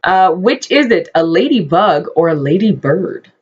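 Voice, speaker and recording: A woman's voice: lowish voice, very animated, unhurried, breathy edge, dull audio. A French-speaking woman co-hosting a casual chat, asking questions with frequent giggles.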